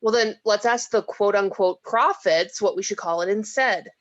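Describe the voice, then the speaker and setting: A woman's voice: medium pitch, moderate pace, no echo, lo-fi sound, lightly acted. A woman playing an exasperated, sceptical reluctant heroine in an amateur screenplay table read. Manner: wry, exasperated.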